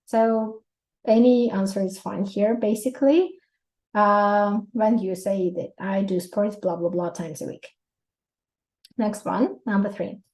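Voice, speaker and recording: A woman in her thirties with a Russian accent, teaching an English exam prep lesson in a lively way, laughing often and giving many examples. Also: mid-range voice, a little slow, rough recording. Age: 30s.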